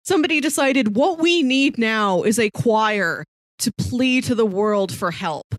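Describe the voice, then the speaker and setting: An American woman co-hosting a casual film discussion podcast, with an animated, storytelling way of talking. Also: a little high, unhurried, dry recording, studio quality. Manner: animated storytelling, laughing through sentences, voices imagined quotes. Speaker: podcast co-host.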